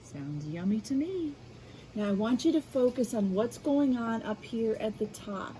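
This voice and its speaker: An American woman reading a picture book aloud to children in an expressive way, adding explanatory asides. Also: fairly high pitch, a little hiss or hum, rough recording. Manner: animated, unhurried, addressing children. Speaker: school media specialist reading aloud.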